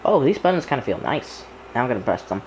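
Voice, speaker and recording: A man's voice: mid-range voice, sing-song, fast talker, darkish tone, a little hiss or hum, low-quality recording. A man giving casual, hands-on unboxing commentary, informally talking through each small action as he does it. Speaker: hobbyist video creator presenting an unboxing.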